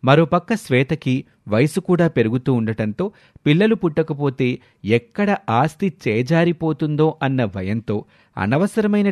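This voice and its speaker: A man reads a scripted moral tale in the role of storyteller. His voice is of average pitch for a man. The recording is dry, with no room sound. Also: a little mellow, dry recording.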